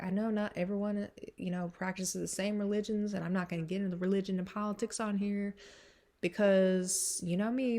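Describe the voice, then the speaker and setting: A young woman with a stuffy, congested voice, chatting casually and rambling through an introduction before singing a cover song. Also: darkish tone. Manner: rambling, many fillers, swings up high when emphatic. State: stuffy nose from sinus trouble.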